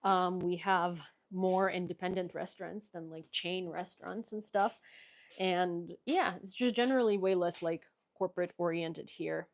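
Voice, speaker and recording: Chatty Ukrainian-accented woman, lowish voice. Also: fairly even intonation, normal speed. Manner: chatty, many fillers and restarts, frank opinions. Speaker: livestream host. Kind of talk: casual livestream chat reading viewer questions. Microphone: headphones.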